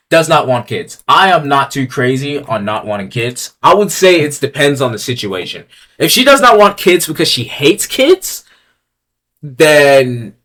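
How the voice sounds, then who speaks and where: A young man giving casual commentary as he ranks items on a tier list. He talks in a crude, blunt, slangy way, reading each item aloud and then riffing on it. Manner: swings from low mutters to loud yelling.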